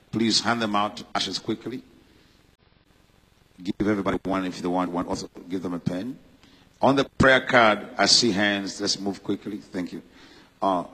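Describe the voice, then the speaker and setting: A man from Uganda preaching a church sermon, fervent and rising to loud proclamation. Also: lowish voice, breathy edge, big-room sound, poor audio. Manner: fervent, slips into loud shouted preaching and scripture reading. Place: church hall.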